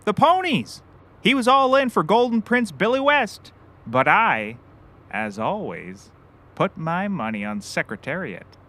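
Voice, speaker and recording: An actor playing a clueless, self-important and excitable private eye in a scripted comedy, with bombastic delivery. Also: male voice, sits high, a little slow, heavily acted. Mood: excited. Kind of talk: scripted audio comedy acting.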